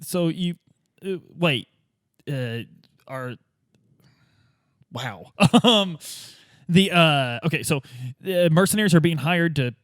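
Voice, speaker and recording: An easygoing, gullible detective played by a man in a roleplay game, on the high side for a male voice and very animated. He rambles in slangy, muddled phrases, chummy with whoever he talks to. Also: takes it slowly, pauses often, no echo, clean studio sound.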